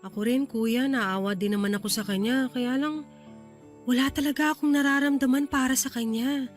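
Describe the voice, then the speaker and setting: A woman's voice: sits high, steady tone, normal speed, faint music behind, close to an everyday voice. A woman with a Filipino accent playing a sweet, playful younger sister in a radio drama. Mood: worried, earnest.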